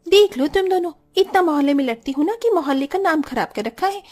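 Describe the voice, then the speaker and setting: A woman's voice: high-pitched, lively intonation, brisk, darkish tone. A woman voicing a defensive, quarrelsome young housewife in a cartoon story, with a complaining, argumentative manner.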